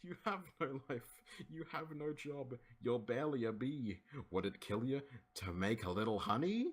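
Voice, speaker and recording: A man's voice: medium pitch, animated, normal speed. An Australian man doing an informal read-aloud of a script as an amateur narrator, voicing the dialogue himself.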